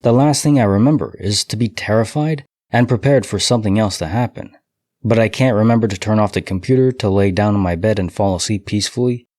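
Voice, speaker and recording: A man hosting a podcast, reading listener stories aloud and musing on them in a relaxed, speculative way with light chuckles. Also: lowish voice, a little fast, dry recording, clean studio sound. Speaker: podcast host.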